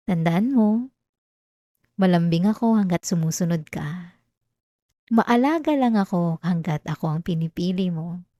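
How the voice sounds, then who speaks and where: A woman's voice: on the low side, lively intonation, measured pace, long pauses, mellow, no echo, studio quality. A woman with a Filipino accent playing an obsessive, possessive girlfriend in an intimate ASMR roleplay, coaxing and clingy with veiled menace. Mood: calm, threatening. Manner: clingy, coaxing, breaking into giggles, veiled threats. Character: possessive obsessive girlfriend, sweetly menacing, unhinged yandere lover. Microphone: close dry microphone.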